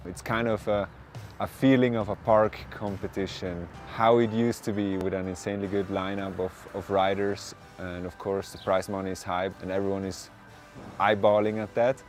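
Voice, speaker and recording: A man from South Tyrol, a skier, speaking relaxed and excited in a documentary interview. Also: sits low, normal speed, faint music behind. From South Tyrol, Italy. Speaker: athlete.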